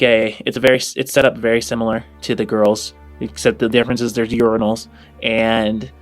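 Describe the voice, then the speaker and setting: A man narrating a tabletop game casually as game master, rephrasing as he improvises his descriptions. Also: on the low side.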